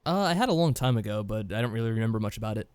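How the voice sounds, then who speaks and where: Quick, clear-voiced male co-host. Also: lowish voice, expressive. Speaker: podcast co-host, listening. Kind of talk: casual chat.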